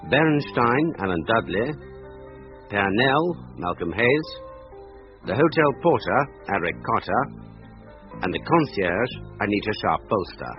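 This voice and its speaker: A man reading formal scripted closing credits as a radio announcer. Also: mid-range voice. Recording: muffled old radio broadcast. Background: plucked guitar music.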